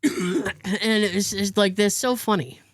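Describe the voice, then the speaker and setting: A woman's voice: medium pitch, unhurried, no room sound, clean studio sound. An American woman hosting a podcast, telling a story in casual chat and acting out what other people said. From United States. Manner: animated storyteller, acts out other people's lines. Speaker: podcast host.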